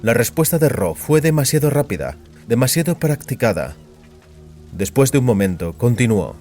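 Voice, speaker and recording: A man narrating a dramatized story as scripted fiction, in a grave, deliberate storytelling manner. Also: medium pitch, very animated, warm tone. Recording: music behind the voice.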